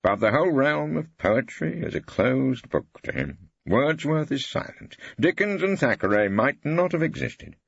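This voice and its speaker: A man's voice: mid-range voice, very animated, unhurried, gravelly, slightly rough, no room sound, highs cut off, pitched above the performer's own voice, exaggerated voice. A man playing a shrewd, combative old English barrister in an audiobook, insistent and given to pompous rhetorical flourishes. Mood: needling, rhetorical.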